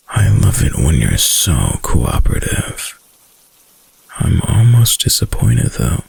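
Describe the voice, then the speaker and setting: A man voicing a possessive, doting, dominant wolf-boy lover in an intimate ASMR roleplay, with a deep, gravelly, breathy murmur. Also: a little mellow, heavily acted. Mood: coaxing, dominant. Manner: slow, murmured, cooing and possessive. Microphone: close, dry microphone.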